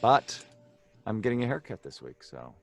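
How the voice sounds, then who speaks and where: Low-voiced man chatting casually. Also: sing-song, normal speed, creaky, faint music behind. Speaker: guest on a live stream. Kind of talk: casual chat.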